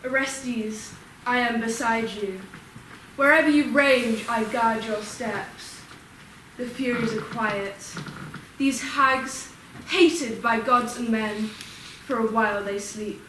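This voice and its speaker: An imperious god from Greek tragedy, played by a woman, proud and authoritative. The voice is bright and a little high, declaiming emphatically from a distance in a reverberant room. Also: takes it slowly. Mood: protective, urgent. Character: proud, authoritative god, protective of follower, contemptuous of Furies. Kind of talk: Greek tragedy stage acting. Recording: echoey room, distant mic, faint noise.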